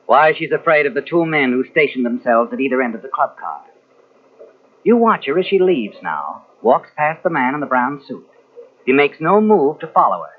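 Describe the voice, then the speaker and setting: An actor playing a knowing, insinuating narrator in a 1940s radio suspense drama, speaking dramatically as if taunting the guilty hero. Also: male voice, medium pitch, very animated, normal speed. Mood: suspenseful. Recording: tinny old radio recording from cassette.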